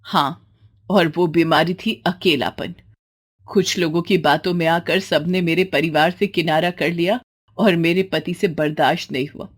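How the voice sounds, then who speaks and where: A woman's voice: fairly low pitch, fairly even intonation, a little slow. A woman voicing a wronged, middle-aged village widow in a story, speaking in a pointed, emotional, dramatic way. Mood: bitter, accusing. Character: wronged, bitter village widow and mother, defiant.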